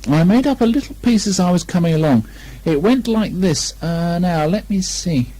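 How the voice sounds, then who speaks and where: A man voicing a good-natured, slow-witted bear from a children's storybook, hesitant and earnest. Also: high voice, lively intonation, dark tone, pitched above the performer's own voice, poor audio. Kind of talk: children's storybook character reading.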